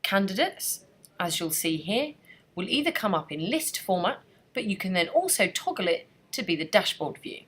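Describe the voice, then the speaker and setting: A woman narrating a scripted software training screencast in a clear, even instructional manner. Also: medium pitch, normal speed.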